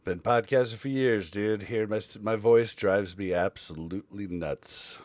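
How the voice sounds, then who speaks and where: Man, podcast host, low voice, dry close sound, rambling, fillers and restarts. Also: moderate pace, a little mellow, no room sound. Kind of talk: casual podcast chat.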